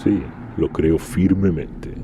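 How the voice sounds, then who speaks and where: A man playing a scarred, ambitious Iron Warrior, speaking in a low, measured, bitter and commanding way, in a fan audio drama. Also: steady tone, background noise. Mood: determined.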